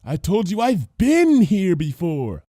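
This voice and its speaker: Man voicing a dreamy, high-pitched female unicorn. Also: huge pitch swings, mellow, no room sound, clean studio sound, heavily acted. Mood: calm, unbothered. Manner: vague, knowing, unhurried. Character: dreamy, unworried female unicorn obsessed with secret truths.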